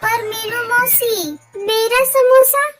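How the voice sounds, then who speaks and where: A woman puts on an eager little girl bird from a cartoon. The voice is extremely high and childlike, pleading with grown-ups. Music plays clearly behind it. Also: fairly even intonation, takes it slowly.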